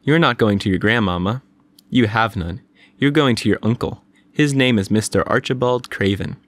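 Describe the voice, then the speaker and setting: A man's voice: on the low side, moderate pace, dry recording, lightly acted. A man voicing a scornful, teasing seven-year-old boy in a storybook reading. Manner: mocking, superior.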